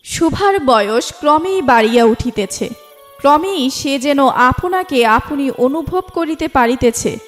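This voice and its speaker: High-voiced woman narrating a literary story. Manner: formal storytelling delivery. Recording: music bed under the voice. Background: instrumental music.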